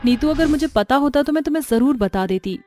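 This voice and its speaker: A well-off mistress of the house from a storybook tale, acted by a woman. She sounds brisk and matter-of-fact in a high voice, with faint music behind. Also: expressive, warm tone, no room sound.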